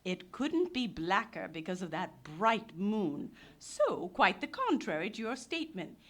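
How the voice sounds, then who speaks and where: An actress playing a bossy, teasing, plucky young woman adventurer in a live radio play, brisk and theatrical. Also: mid-range voice, big pitch swings, fairly bright tone. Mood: teasing, mocking.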